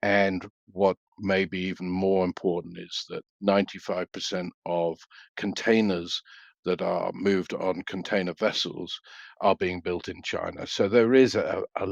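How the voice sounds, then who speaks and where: Brief: middle-aged man, very low gravelly voice, dry dull recording, hesitant expert answers. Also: dry recording. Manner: measured, hesitant with many fillers and restarts. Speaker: freight market analyst guest. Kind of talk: business interview answers.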